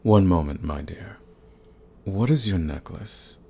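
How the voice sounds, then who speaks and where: A man playing a suave, sadistic vampire lord in an ASMR roleplay, courtly and menacing, with a gravelly, husky voice. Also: sits low, lively intonation, exaggerated voice. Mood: smug, courtly. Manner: courtly, purring, slow and menacing. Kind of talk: ASMR roleplay, scripted monologue to the listener.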